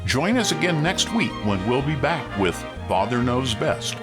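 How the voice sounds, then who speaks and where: A man's voice: mid-range voice, very animated, normal speed. A man working as a vintage radio announcer, reading scripted narration and promotion. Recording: vintage radio broadcast with music behind.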